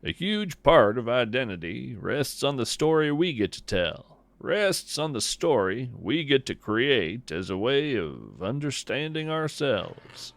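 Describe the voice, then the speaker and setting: A man playing a whimsical, gentle naturalist host, musing in a folksy, wry way through a scripted podcast monologue. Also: mid-range voice, very animated, a little slow, creaky, hardly any pauses, no room sound. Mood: reflective, cozy. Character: whimsical, gentle naturalist host chatting over breakfast at home.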